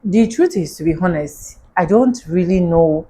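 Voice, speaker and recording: A woman's voice: lowish voice, animated, moderate pace, dark tone, husky. A woman with an African accent, hosting a casual commentary show and talking directly to her viewers.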